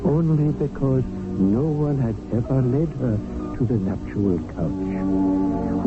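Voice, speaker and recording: Wry, deferential servant-narrator, tinny radio. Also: male voice, medium pitch. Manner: formal, flowery, measured phrasing. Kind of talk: 1970s radio drama narration and acting. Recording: noisy narrow-band old radio broadcast.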